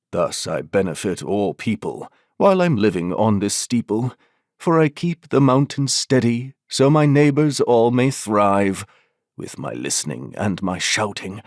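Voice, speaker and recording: A woman's voice: a bass voice, darkish tone, no room sound, studio quality, voice pushed down, exaggerated voice. A woman voicing a quirky, self-important, hospitable mountain hermit in a storybook, with a solemn, declamatory manner. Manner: solemn, pompous, declamatory, sing-song in verse.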